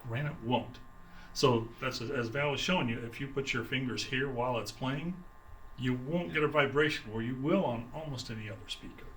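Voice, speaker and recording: Man, bright forceful voice, mid pitch, sales director, informal explanation, halting delivery, light background noise. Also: rough recording. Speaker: sales director for a loudspeaker maker. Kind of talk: informal product explanation in an interview. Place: exhibit room at an audio trade show.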